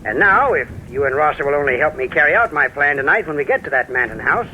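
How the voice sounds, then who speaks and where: An actor playing a shrewd, scheming sheriff with a knowing, pointed manner in an old-time radio drama. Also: male voice, fairly high pitch, animated, fast talker, pushed and bright. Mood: scheming, assured. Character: shrewd, scheming sheriff plotting against a stranger. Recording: dull vintage radio recording, faint noise.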